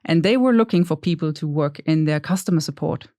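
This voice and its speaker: A woman's voice: deep voice, fairly quick, dark tone, dry recording. Woman on a dry close mic. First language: German. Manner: thoughtful, hesitant, self-deprecating. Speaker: podcast guest. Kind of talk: casual interview chat.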